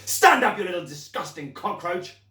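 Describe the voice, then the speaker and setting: A man's voice: animated, normal speed, a bit bright, natural voice. A man barking as a furious giant headmistress. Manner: booming, scornful tirades. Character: huge, furious, bullying female headmistress. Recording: roomy echo.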